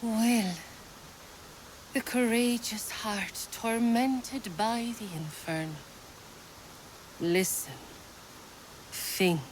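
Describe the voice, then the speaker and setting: An actress playing a gentle mystical seer in a video game, speaking in a hushed, deliberate, ritual manner. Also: female voice, a little high, a little hiss or hum. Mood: hushed, solemn. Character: gentle mystical female seer probing hearts. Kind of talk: dramatic video game acting.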